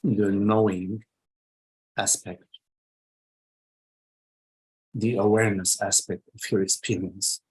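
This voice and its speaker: A man leading a guided meditation as a teacher, speaking in short phrases with long pauses between them. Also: fairly low pitch, flat delivery, breathy edge, rough recording.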